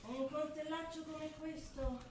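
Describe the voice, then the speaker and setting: A woman's voice: high voice, steady tone, unhurried. A woman acting on stage as a devout, bossy, insistent woman who gives instructions and repeats herself. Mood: matter-of-fact, instructing. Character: devout, bossy woman briskly explaining butchery. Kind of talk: live stage play acting. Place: theatre stage, recorded from a distance. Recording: echoey noisy theatre, far from mic.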